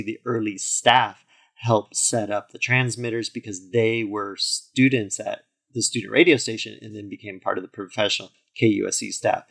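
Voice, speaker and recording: A man's voice: fairly low pitch, vocal fry, fairly bright tone. A man in his forties, a podcast guest, telling his story fluently with frequent "you know" fillers. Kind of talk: interview conversation.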